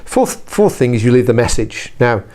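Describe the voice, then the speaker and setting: A man's voice: medium pitch, very animated, moderate pace, darkish tone. A middle-aged British man presenting an informal video blog as a trainer, chatty and self-correcting, with wry asides. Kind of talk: informal instructional talk to camera.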